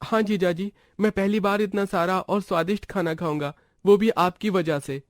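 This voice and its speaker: A man's voice: high voice, steady tone, moderate pace, dry recording, studio quality, pitched above the performer's own voice, natural voice. A man voicing an innocent, eager young boy from a poor family in a cartoon story. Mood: grateful, eager.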